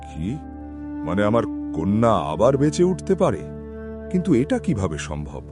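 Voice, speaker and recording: A stately fairy-tale king played by a man with a fairly low voice. His dramatic delivery swings widely in pitch, with music behind it. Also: sing-song, unhurried. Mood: astonished. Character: astonished, stately king and father. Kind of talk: cartoon story voice acting.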